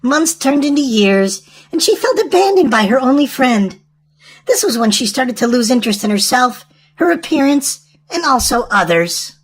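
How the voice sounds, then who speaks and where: A woman reading a storybook aloud to young children in an animated, sing-song way. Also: sits high, normal speed, breathy edge. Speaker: children's storytime host and reader.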